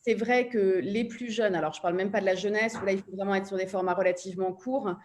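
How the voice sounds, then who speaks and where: A woman with a medium-pitched voice speaks as a panelist. She talks fast and unscripted, laying out her explanation as she goes. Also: fairly even intonation, a little mellow. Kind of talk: panel discussion, spontaneous explanation.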